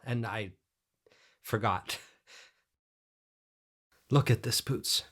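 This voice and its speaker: An actor playing a troubled, grieving middle-aged man, reflective and halting, narrating in an audio drama. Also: mid-range voice, a bit bright, no echo, clean studio sound. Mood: shaken, apologetic. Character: troubled, wistful grieving man returning to childhood haunt. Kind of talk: audio drama acting with first-person narration.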